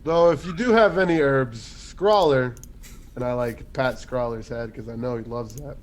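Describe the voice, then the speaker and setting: A man's voice: mid-range voice, normal speed, background noise, lightly acted. A man voicing a blunt, boastful warrior character who teases his companions. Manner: blunt, teasing.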